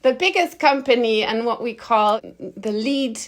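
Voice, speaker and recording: A woman's voice: a little high, expressive, a little slow. Nasal woman with a cold. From Germany. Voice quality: slightly nasal. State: has a cold. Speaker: interview guest, agency founder. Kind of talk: conversational interview answers.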